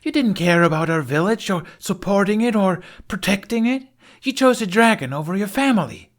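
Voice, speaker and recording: A young Viking warrior woman acted by a male audiobook narrator speaks high and hard. She is indignant and accusing, pushing her words out loudly with sharply swinging pitch. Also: animated, moderate pace. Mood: furious, accusing. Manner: indignant, forceful, shouting accusations.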